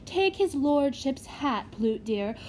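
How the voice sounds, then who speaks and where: A woman voicing a fawning, gushing matron who flatters a visiting gentleman. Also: high-pitched, takes it slowly, a little hiss or hum, voice pushed up, full character voice. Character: fawning, gushing middle-aged woman running a house for children.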